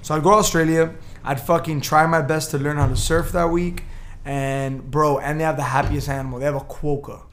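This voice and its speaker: Young guy podcast host, kinda high voice, loud and swearing, ribbing his buddies. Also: steady tone, brisk, slight background noise. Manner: loud, profane, teasing storyteller. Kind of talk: rowdy casual chat among friends.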